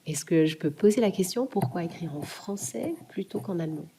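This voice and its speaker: A woman student asking a guest author a question in a hesitant, halting way. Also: lowish voice, expressive, noisy background. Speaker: student interviewer. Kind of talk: asking questions at a book talk.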